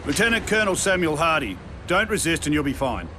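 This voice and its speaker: A man's voice: on the high side, very animated, fast, bright, forceful tone, a little hiss or hum. A man playing a firm, formal lieutenant colonel who goes by the book in a video game. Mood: firm, official. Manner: formal, authoritative. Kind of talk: video game cinematic acting. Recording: music behind the voice.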